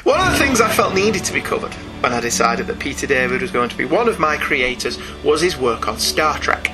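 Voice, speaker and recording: A man's voice: medium pitch, big pitch swings, brisk, loud music behind the voice. A British man hosting a comics podcast, moving between scripted review and casual, wry, articulate banter.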